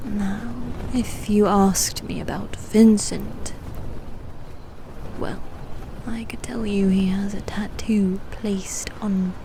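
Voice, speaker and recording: Slow, hushed, proud demon knight woman acting. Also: medium pitch, steady tone, background noise, natural voice. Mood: defensive, flustered. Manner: hushed, breathy, haughty, halting. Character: proud, guarded female demon knight, teasing and secretly fond. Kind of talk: ASMR roleplay, intimate half-whispered acting.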